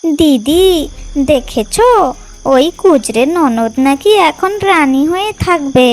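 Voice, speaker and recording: A woman playing a spiteful, sharp-tongued younger sister-in-law in a cartoon, catty and fawning. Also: high-pitched, lively intonation, slow pace. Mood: catty, curious. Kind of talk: exaggerated cartoon fairy-tale dialogue. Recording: music behind the voice.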